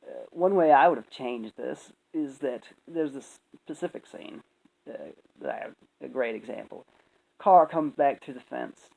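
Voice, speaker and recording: Opinionated commentator, rambling and emphatic, very animated delivery with a creaky edge. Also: medium pitch, unhurried, poor audio. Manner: rambling, emphatic, frequent swearing. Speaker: video commentator.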